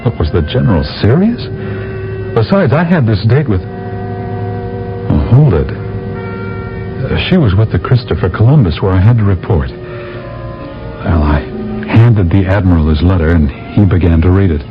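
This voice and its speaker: A lively, low-voiced bewildered captain. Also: male voice, measured pace, pauses often. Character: reluctant young captain, wry and bewildered. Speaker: space captain and narrator. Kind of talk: 1970s radio drama acting. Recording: dull old radio recording with music.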